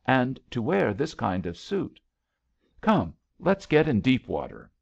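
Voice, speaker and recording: A man voicing a spirited, excitable young woman swimmer in a story reading, on a muffled recording. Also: mid-range voice, slow pace, creaky, breathy edge, pitched above the performer's own voice, exaggerated voice. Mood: eager.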